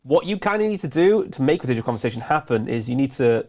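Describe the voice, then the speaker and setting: A man co-hosts a casual business talk, chatty and easygoing. He talks quickly, one thought running into the next, at a middling pitch. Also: sing-song, darkish tone. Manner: chatty, quick, laughs easily. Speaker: co-host.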